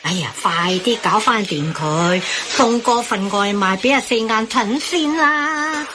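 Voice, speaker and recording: An actress playing a sensible, mildly exasperated Hong Kong auntie who makes peace in a radio comedy. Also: female voice, a little high, measured pace, lightly acted. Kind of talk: Cantonese radio comedy acting.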